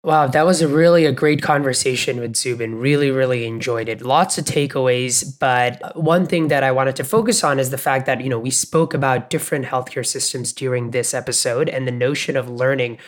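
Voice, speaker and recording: A man co-hosting a podcast, sharing reflective takeaways in a measured, thoughtful way with frequent fillers. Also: medium pitch. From Bangladesh, Canada and the US. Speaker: podcast co-host.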